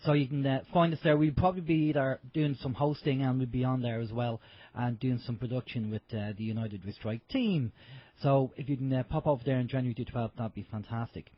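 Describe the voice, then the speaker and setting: A man with a husky voice, co-hosting an internet radio show in a chatty, upbeat way with fillers. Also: mid-range voice, fairly even intonation, brisk, dry recording. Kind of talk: casual radio chat. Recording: dull lo-fi internet radio audio.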